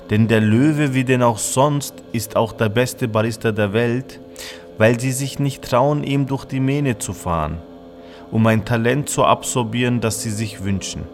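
A man narrating a scripted fable as a storyteller. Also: medium pitch.

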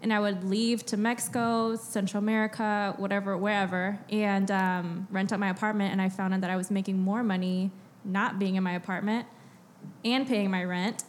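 A woman in her thirties chatting on a podcast, filling her talk with "like" and "um" and laughing easily. Her voice sits at a middle pitch for a woman, with faint noise in the background. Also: flat delivery, normal speed. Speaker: guest, business co-founder. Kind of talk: casual podcast interview.

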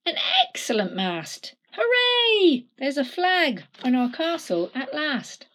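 A woman doing an excited beach dad. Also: high voice, unhurried, a little hiss or hum, voice pushed up, full character voice. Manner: loud, excited, exclamatory. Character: excited dad building a sandcastle.

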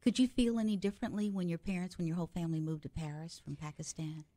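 Woman host, low mellow voice, chatty and reflective interviewer, dry close-miked sound. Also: steady tone, fast, dry recording. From United States. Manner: chatty, reflective, adds personal anecdotes. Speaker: radio show host. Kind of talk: conversational interview.

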